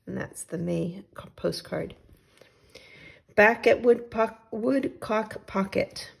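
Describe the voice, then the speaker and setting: A woman's voice: medium pitch, takes it slowly, vocal fry, slightly rough. A woman teacher reading a picture book aloud to young children, adding simple asides about the pictures.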